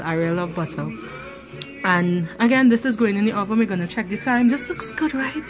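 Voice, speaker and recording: A Trinidadian woman casually talking through a home cooking tutorial, rambling with many ums and easy laughs. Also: mid-range voice. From Trinidad and Tobago. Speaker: cooking channel host.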